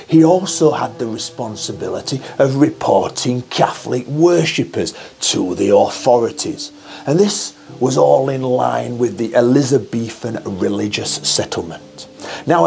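A man's voice: mid-range voice, creaky. A Yorkshire man with a Yorkshire accent, hosting his channel and telling history as a theatrical, chatty storyteller who addresses viewers directly. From Yorkshire, England. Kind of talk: costumed dramatic history storytelling. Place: indoor studio in front of a backdrop screen.

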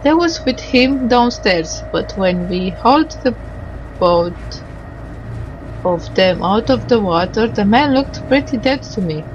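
A woman doing a casual game playthrough, reading the on-screen dialogue aloud in a halting, tentative way and sounding tired. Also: mid-range voice, muffled recording, noisy background.

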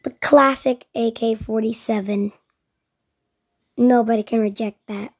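Woman reacting to a video, high voice, takes her time, just musing casually. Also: steady tone, measured pace, a little mellow, breathy edge. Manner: musing, explains own reasoning aloud.

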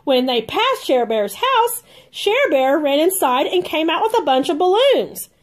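High, slow, very expressive woman storyteller. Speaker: read-along storybook host.

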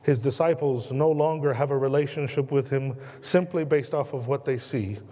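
A man in his thirties with an American accent, preaching a sermon from the pulpit in earnest, slowing and dropping his voice on key words. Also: warm tone. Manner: earnest preaching, slows and drops low on key words, gestures a lot. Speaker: pastor. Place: church sanctuary at a pulpit. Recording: long church reverb.

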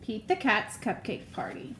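A woman with a high voice reads a picture book to young children. Her delivery is slow and deliberate, with expressive storytelling. The recording is roomy, like a phone in a room at home. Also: a little hiss or hum. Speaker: elementary school staff member reading aloud. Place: small room at home, indoors.

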